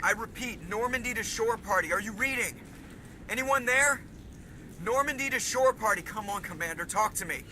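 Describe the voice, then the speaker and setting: A jittery, wry starship pilot calling over radio comms. His voice is high for a man and urgent. Clear noise sits around the transmission, in science fiction game style. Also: animated, normal speed, bright, forceful tone, full character voice. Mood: worried, urgent. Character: jittery wisecracking young male starship pilot. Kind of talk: dramatic video game acting.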